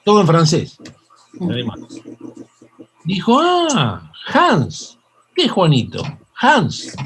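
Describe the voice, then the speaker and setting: An older Argentine man with a Rioplatense accent, a psychoanalyst telling stories in an interview, halting with many fillers and restarts. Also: sits high, wildly swooping pitch, a bit bright, slight background noise, low-quality recording. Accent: Rioplatense Spanish. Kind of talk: reflective interview storytelling.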